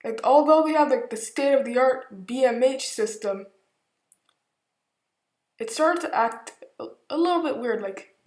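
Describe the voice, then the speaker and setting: A woman telling a story casually and informally, in a halting way with emphatic repetition. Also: high-pitched, darkish tone. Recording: echoey room.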